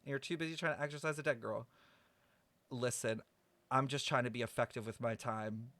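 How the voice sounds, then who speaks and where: A man's voice: mid-range voice, steady tone, a little fast, a bit bright, no echo. A man streaming a game with casual live commentary, blunt, sweary and dramatic in a sassy way. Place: close, dry microphone on a live stream.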